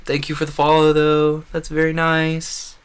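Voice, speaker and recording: A man's voice: a little high, completely flat delivery, measured pace, smooth. A man hosting a gaming livestream, rambling casually and earnestly, giving opinions and acting out imagined quotes. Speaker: livestream host.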